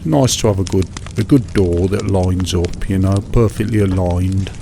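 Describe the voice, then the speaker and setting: A middle-aged British man in a monotonous, soothing one-sided chat, hesitant and rambling, repeating himself over mundane small details. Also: deep voice, normal speed, gravelly. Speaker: host of a sleep relaxation show. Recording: steady background noise, fireside crackle.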